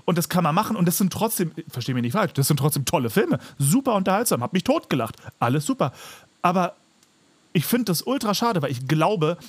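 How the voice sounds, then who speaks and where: A man's voice: high voice, very animated, a little fast, husky. A man in a casual podcast chat, ranting emphatically and with plenty of exaggeration. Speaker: podcast co-host.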